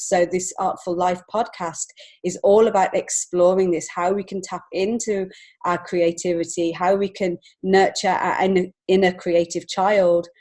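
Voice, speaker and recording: A woman's voice: lowish voice, warm tone. A woman in her forties with an English accent, telling her personal story as a podcast guest in an earnest, reflective way. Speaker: podcast guest, children's author and illustrator.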